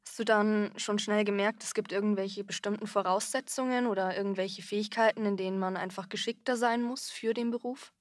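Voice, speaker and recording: A woman's voice: mid-range voice, fairly even intonation, a little fast, few pauses, no room sound. A woman co-hosting a podcast interview, asking long, wordy questions. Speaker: podcast co-host.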